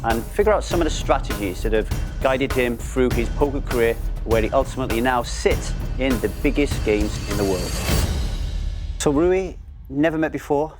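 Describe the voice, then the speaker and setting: A man's voice: mid-range voice, lively intonation, normal speed, over music. A middle-aged man hosting an interview, chatting freely and swapping his own stories with the guest. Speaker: interview host. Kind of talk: casual interview chat.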